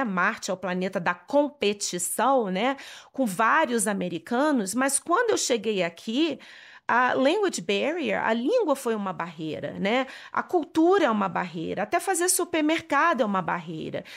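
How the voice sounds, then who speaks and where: A Brazilian woman with a Brazilian Portuguese accent, casually explaining astrology, often adding "né?", restarting words and slipping in English terms. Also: a little high. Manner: frequent "né?" tags, restarts, mixes English words.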